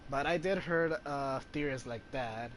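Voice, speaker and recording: Casual male game streamer, slow and hesitant, mid-pitched, with clear background noise. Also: a bit bright. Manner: hesitant, searching for words. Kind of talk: casual gameplay commentary. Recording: clearly noisy home recording with ambience.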